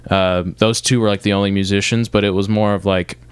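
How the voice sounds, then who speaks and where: A man's voice: fairly low pitch, level tone, fairly quick, smooth, no room sound. A young man from Michigan, a guest musician, telling stories in a casual interview and rambling through restarts and fillers. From Michigan, north of Detroit.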